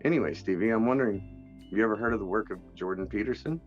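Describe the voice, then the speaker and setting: A man's voice: on the low side, very fast, faint music behind, natural voice. An actor playing a nervous, chatty man at speed dating in an audio drama, on a muffled call. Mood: awkward, friendly.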